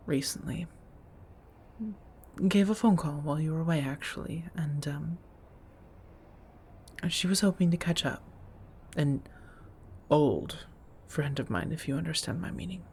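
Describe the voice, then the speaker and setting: A centuries-old female vampire played by a woman, her voice low and soft. She speaks in measured, formal phrases, gentle and affectionate but guarded, as in an intimate ASMR roleplay. There is noticeable noise in the recording. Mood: hesitant, guarded. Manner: measured, formal wording with endearments, hesitant confessions.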